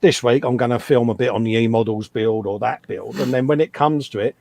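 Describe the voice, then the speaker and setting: A man's voice: medium pitch, fairly even intonation, a little fast, creaky, a little mellow, no room sound. A man, a fellow model maker, chatting matter-of-factly on a live stream, often saying "you know". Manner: conversational and matter-of-fact, with frequent "you know". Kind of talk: casual chat on a livestream.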